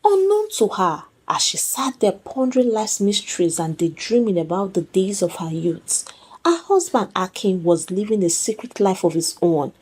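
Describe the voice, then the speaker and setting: A woman's voice: medium pitch, very animated, normal speed, a little mellow, breathy edge. A woman storyteller reading a scripted folktale as dramatic narration.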